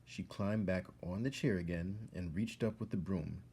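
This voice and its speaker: A man reading a children's story aloud as a storyteller, expressively and with dramatic pauses. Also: deep voice, warm tone, husky. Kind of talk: narrated story read-aloud.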